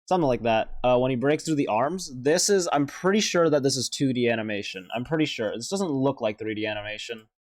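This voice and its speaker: A man's voice: mid-range voice, fairly quick, hardly any pauses. A man giving casual, off-the-cuff reaction commentary as a video host, rambling and hesitant as he thinks aloud.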